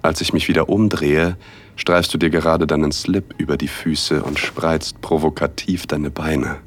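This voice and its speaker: A man narrating a first-person erotic audio drama in a hushed, intimate, unhurried way, with a husky voice. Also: extremely low pitch, flat delivery. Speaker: narrator and male lead.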